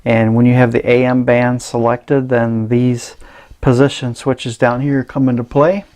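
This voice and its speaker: A man presenting a casual, unscripted gadget review in a halting, rambling way. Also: medium pitch, little pitch movement, a little mellow. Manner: hesitant, halting, rambling delivery.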